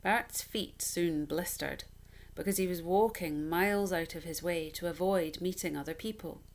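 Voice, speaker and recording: A woman with a fairly low voice narrates a children's storybook. She reads expressively, in a measured and dramatic storytelling manner.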